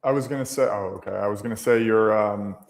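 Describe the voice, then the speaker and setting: A man's voice: fairly low pitch, fast, creaky, echoey room. A man co-hosting a livestream, talking casually and commenting on his trades. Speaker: livestream co-host, futures trader.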